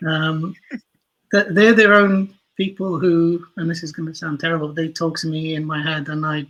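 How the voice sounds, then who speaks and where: A man's voice: on the high side, flat delivery, moderate pace, fairly bright tone, poor audio. A man chatting casually as an interview guest, explaining things in a thoughtful, self-deprecating way. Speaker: guest, audio drama writer and producer.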